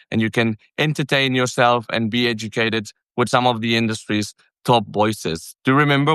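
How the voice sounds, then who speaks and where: An energetic man hosting a business podcast, with a smooth, resonant voice that sits in a middle register. The recording is close and dry, with no room sound at all. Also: mid-range voice, dry recording. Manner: energetic, talkative, self-correcting. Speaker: podcast host. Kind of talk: casual podcast interview.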